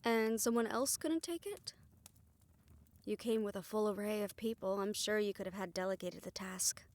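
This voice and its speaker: A woman's voice: mid-range voice, moderate pace, darkish tone, natural voice. A woman playing a defiant, determined young woman in a fantasy audio roleplay, earnest and sardonic when challenged. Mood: indignant.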